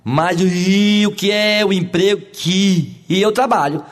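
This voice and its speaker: A man's voice: high voice, slow. Man playing an eager stuttering job seeker. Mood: hesitant, eager. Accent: Brazilian.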